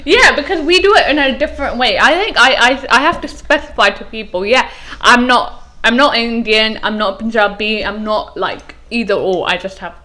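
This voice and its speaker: A young woman from an Afghan Sikh family, co-hosting a casual podcast chat, animated, with frequent restarts. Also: fairly high pitch, normal speed. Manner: animated, restarts often, laughs through stories. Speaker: podcast co-host.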